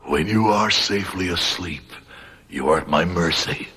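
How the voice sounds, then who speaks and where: An actor in a radio horror drama playing an ancient, cursed wandering ghost, menacing and solemn, with a husky, whispery voice and portentous delivery. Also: male voice, low voice, pushed and bright, exaggerated voice. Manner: slow, portentous, formal.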